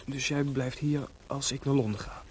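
An actor playing a playful, broke, impulsive young composer and husband in a Dutch radio drama, with a teasing, theatrical delivery. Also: male voice, medium pitch, fairly even intonation, moderate pace, darkish tone, close to an everyday voice. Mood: subdued, wounded. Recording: noisy old radio play recording.

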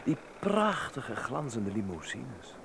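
An actor playing a bantering, slightly wistful young artist in a Dutch radio play, chatty in manner. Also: male voice, mid-range voice, very animated, slow pace, gravelly, noisy background, exaggerated voice. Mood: wistful, admiring.

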